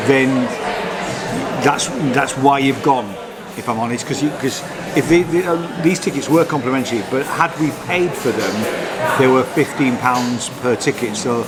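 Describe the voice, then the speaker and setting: A man hosting a vlog, giving a casual, halting and blunt review to camera. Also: mid-range voice. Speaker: vlog host reviewing festival shows. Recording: loud crowd chatter behind. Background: busy crowd.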